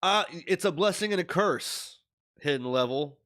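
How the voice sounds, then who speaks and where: A man's voice: fairly high pitch, animated, a little slow, a bit bright. Young guy, sick, stuffy nose and scratchy throat, rambling and worried into his webcam. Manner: rambling, worried, with many fillers. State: stuffy-nosed and scratchy-voiced from illness. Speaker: streamer. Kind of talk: casual video diary. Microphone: webcam at desk.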